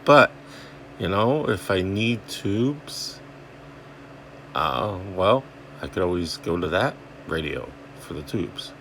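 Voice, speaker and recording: A man's voice: low-pitched, animated, takes it slowly, fairly bright tone, slight background noise. A man giving casual, rambling hands-on repair commentary, thinking aloud in informal, salty language. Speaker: host of a radio repair video. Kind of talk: casual hands-on commentary while inspecting equipment.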